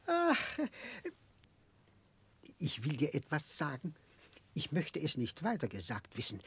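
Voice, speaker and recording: An older man playing a kindly, muddle-headed, childlike eccentric gentleman in a radio drama, husky and confiding, speaking haltingly. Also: a little high, wildly swooping pitch, slight background noise, exaggerated voice. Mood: giddy, confiding. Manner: chuckling, halting, confiding, rambling. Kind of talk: 1960s German radio drama acting.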